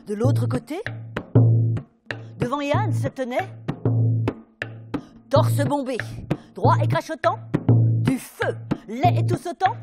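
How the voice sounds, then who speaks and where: A woman's voice: high-pitched, pitched above the performer's own voice, heavily acted. Woman performing as an emphatic, theatrical fairy-tale storyteller. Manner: emphatic, swinging from hushed to loud. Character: dramatic female fairy-tale narrator, vivid and suspenseful.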